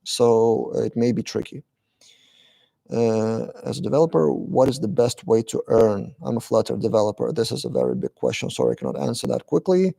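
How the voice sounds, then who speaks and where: A man hosts a live-stream Q&A, reading viewers' questions aloud and answering them briefly. His voice is dark and gravelly, with frequent "uh" pauses between the questions and his answers. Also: medium pitch, sing-song. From Lithuania. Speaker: channel host answering live chat.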